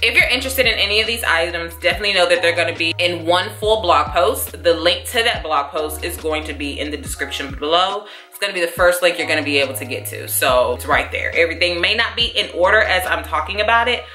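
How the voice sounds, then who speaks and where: Chatty low-voiced woman vlogging from her bedroom. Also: quick pace, few pauses, faint music behind. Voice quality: deep, low voice. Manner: chatty, animated, addresses viewers as y'all and honey. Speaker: lifestyle vlogger. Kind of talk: casual chat to camera. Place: bedroom at home. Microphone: camera mic, seated facing camera. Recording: roomy bedroom echo.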